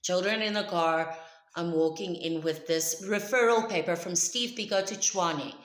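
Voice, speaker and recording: A woman with a South African accent whose first language is Afrikaans tells a personal story to camera. She speaks loudly and emphatically in a chatty way. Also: on the low side, normal speed, roomy sound. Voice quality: loud voice. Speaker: vlogger.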